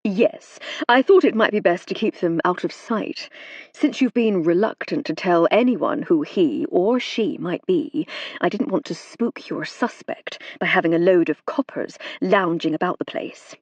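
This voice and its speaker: A woman voicing a practical, measured male police inspector who speaks plainly. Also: lowish voice, expressive, no echo, muffled recording. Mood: matter-of-fact. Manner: plain, measured and matter-of-fact.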